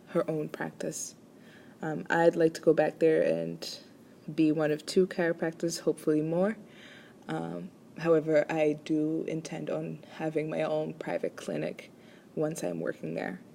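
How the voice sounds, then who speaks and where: A woman's voice: low voice, creaky, warm tone, slight background noise. A woman from Rwanda presents a class assignment as a chiropractic student, speaking hesitantly with many ums and restarts.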